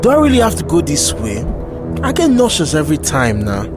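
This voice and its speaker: An actor plays a reluctant, exasperated male companion in an audio drama. His voice is a little high, lively and full of complaint. Music is clearly audible behind him. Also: a little fast. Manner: complaining, animated.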